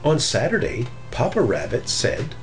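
A middle-aged man reading a children's story aloud in an expressive, deliberate way. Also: medium pitch, faint music behind. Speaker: storybook reader.

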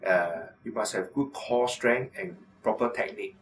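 A middle-aged man, an orthopaedic surgeon, explaining to camera in a measured way with frequent filler pauses, in a medical office. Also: lowish voice, distant mic, rough recording. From Singapore.

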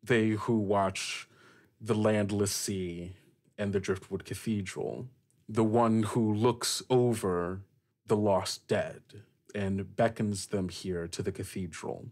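A man's voice: on the low side, steady tone, takes it slowly, a little mellow. A man hosting a tabletop roleplaying game as game master, improvising descriptive narration and putting questions to the player.

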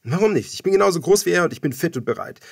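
Man playing a brash young fighter, pitched up. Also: animated, racing, gravelly, slightly rough, exaggerated voice. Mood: eager, insistent. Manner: insistent, forceful. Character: brash, protective young male fighter, loyal friend.

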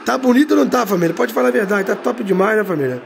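Hoarse Rio streamer man, high gravelly. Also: animated, rapid-fire, slight background noise. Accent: Brazilian Portuguese, Rio de Janeiro. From Brazil. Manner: slangy, drags out catchphrases, tag words drop low and quiet. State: hoarse from a cold. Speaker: game streamer. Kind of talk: hyped gameplay commentary.

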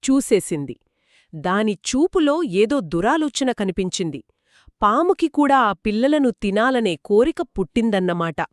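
A woman's voice: medium pitch, very animated, moderate pace, dry recording, clean studio sound. A woman telling a children's story conversationally, engaged and dramatic as she speaks to young listeners.

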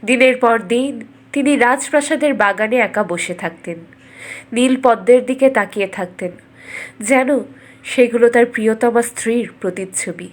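A woman narrating a scripted fairy tale as a storyteller, dramatic and expressive. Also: fairly high pitch, moderate pace.

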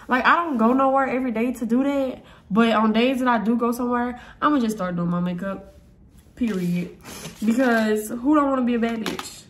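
A woman's voice: a little high, slight background noise. Stuffy-voiced young woman chatting through a makeup routine. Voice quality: stuffy, nasal. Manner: blunt, chatty, profane, rambling. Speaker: vlogger. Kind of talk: casual makeup tutorial vlog.

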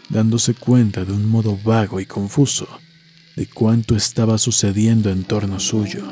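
A man reading a scripted horror story in a measured, literary storytelling manner. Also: on the low side, mellow. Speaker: narrator. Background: music.

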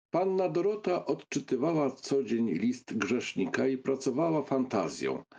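A man's voice: medium pitch, mellow, breathy edge. A man narrating a novel as a scripted audiobook reading.

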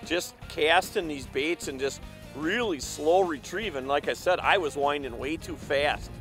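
A man with a slightly high voice hosts a fishing show from an open boat on a lake. He chats casually and exclaims over every fish. Music plays clearly under his voice. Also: measured pace, rough recording. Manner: excitable, exclaims over each catch. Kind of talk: casual chat while fishing.

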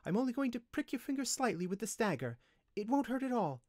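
Man voicing a tiny earnest female windling, high-pitched. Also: very animated, quick pace, darkish tone, no room sound, clean studio sound. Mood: gentle, soothing. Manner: earnest, pleading delivery. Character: small female windling apprentice, gentle, distressed, indignant. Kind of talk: audiobook character voice.

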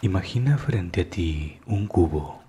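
A man narrating a scripted guided meditation in a calm, soothing manner that is hushed at times. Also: deep voice, a little slow, slight background noise.

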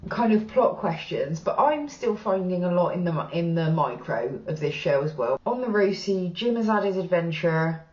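A woman's voice: fairly low pitch, a little mellow. Chatty Bristolian woman in a roomy room. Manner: chatty and frank, casual swearing, gestures while talking. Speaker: reaction channel host. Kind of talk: casual reaction commentary. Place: small plain room indoors. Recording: roomy, slightly dull sound.

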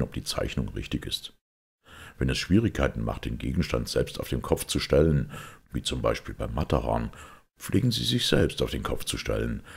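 A man's voice: very deep voice, vocal fry, slightly rough. A man reading a detective story as an audiobook narrator, in a scripted literary style.

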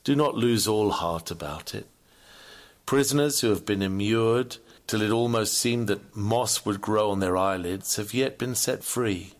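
Male sermon reader, slightly low voice, earnest and formal, with old-fashioned pulpit oratory. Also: a little slow. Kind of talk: scripted sermon reading, preacher-like delivery.

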